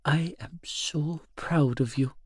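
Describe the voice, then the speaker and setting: Man voicing a frail, hushed old great-grandmother. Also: mid-range voice, dry recording, studio quality, natural voice. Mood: tender, tearful. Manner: hushed, slow, affectionate. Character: frail, tender old Irish great-grandmother, gently firm. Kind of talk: audiobook character dialogue.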